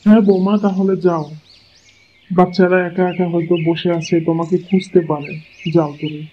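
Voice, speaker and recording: A man's voice: sits high, fairly even intonation, fairly quick, lightly acted. A man voicing a patient, kindly old village father-in-law in a cartoon fairy tale, speaking in a reassuring, homely way. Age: older. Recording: muffled lo-fi audio, clear background noise.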